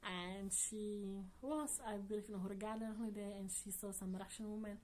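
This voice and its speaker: A woman's voice: medium pitch, fairly even intonation, moderate pace, slight background noise, poor audio. Chatty woman vlogger, non-native English. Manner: earnest, chatty, with frequent soft laughs. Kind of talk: casual chat to camera.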